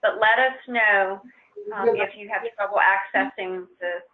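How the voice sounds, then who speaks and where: Woman, conference call presenter, informal instruction, halting explanatory delivery, muffled phone-grade sound. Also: mid-range voice, fairly even intonation. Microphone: conference call line. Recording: muffled narrow-band conference phone line.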